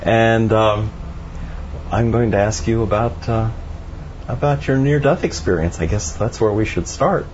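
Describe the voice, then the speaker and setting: A man's voice: on the low side, unhurried, a little mellow, highs cut off, a little hiss or hum. A man hosting a radio interview show, asking questions in an easygoing way with some fillers. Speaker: radio host.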